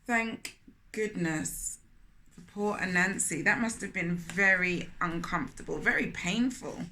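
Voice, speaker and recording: A woman reading a children's story aloud in an expressive manner, often turning to question young listeners directly. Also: on the low side, measured pace, slight background noise. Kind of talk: animated children's read-aloud with asides to listeners.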